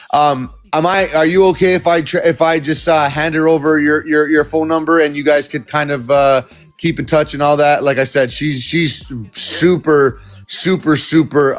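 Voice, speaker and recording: A man hosting a live stream, interviewing a caller in a casual, rambling way with many fillers and restarts. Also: on the high side.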